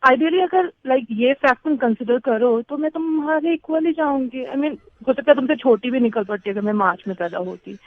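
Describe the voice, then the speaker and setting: A woman's voice: high-pitched, moderate pace, few pauses. A young adult woman talking conversationally on the phone, earnestly explaining herself and mixing Hindi with English. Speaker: prank call target. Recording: thin narrowband phone line.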